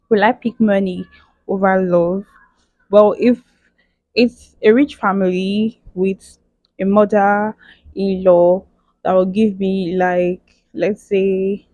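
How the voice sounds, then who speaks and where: A woman's voice: medium pitch, mellow, no echo, highs cut off. A young woman, chatting playfully through a question-and-answer vlog, often tagging her lines with "okay". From Nigeria. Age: 20s. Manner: chatty, playful, emphatic, with frequent "okay" and "like" tags. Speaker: vlogger. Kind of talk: casual Q and A vlog.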